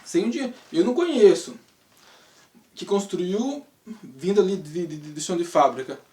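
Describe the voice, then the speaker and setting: A man's voice: sits high, lively intonation, normal speed, mellow. Brazilian man talking in a roomy space. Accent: Brazilian Portuguese. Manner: rambling, rhetorical questions, frequent tag questions. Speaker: channel host, English teacher. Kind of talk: casual spoken monologue. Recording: roomy sound, mic at a distance.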